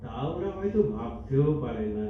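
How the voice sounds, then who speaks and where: A man's voice: mid-range voice, big pitch swings, normal speed, lightly acted. A man playing a tender, reflective old retired teacher in an audio drama, speaking poetically and wistfully. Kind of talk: Bengali stage audio drama. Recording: muffled, echoey hall from far off, noisy.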